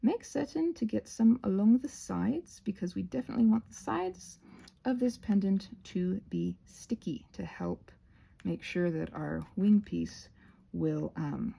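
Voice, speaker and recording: A woman's voice: medium pitch, lively intonation, measured pace, vocal fry, warm tone, breathy edge. A woman giving a casual, rambling craft tutorial, often interrupting herself with playful asides.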